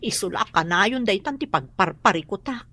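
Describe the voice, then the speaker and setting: An actress playing a worried, complaining wife in a radio drama, with an Ilocano accent. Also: female voice, mid-range voice, lively intonation, fairly quick, sharp tone, husky, exaggerated voice. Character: worried, complaining wife short of rent money.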